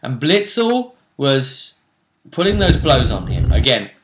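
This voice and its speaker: A man's voice: mid-range voice, very animated, takes it slowly. A man casually recapping a tabletop role-play tournament as game master, talking emphatically and dramatically, repeating phrases for effect. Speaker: game master and vidcast host. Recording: roomy, echoey room sound.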